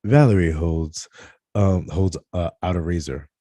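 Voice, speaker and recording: Male narrator for a script read: very low, soft-edged, dry recording, slightly stumbling delivery. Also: a little slow, no echo. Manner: stumbles over words and corrects himself. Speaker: reader of stage directions at a script table read.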